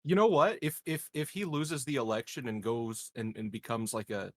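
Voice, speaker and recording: A man's voice: mid-range voice, moderate pace, fairly bright tone, no room sound. A man playing a tabletop roleplaying game, chatting in an informal, joking way. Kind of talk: casual chat.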